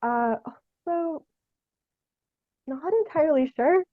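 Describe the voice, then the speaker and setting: A young woman speaks in a fairly high voice over a close, dry but phone-like connection. She talks casually as a guest artist, feeling her way through answers with hesitations and plenty of "like". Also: no room sound. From Canada. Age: young adult. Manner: halting, tentative, thinks aloud with frequent "like". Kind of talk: casual interview conversation.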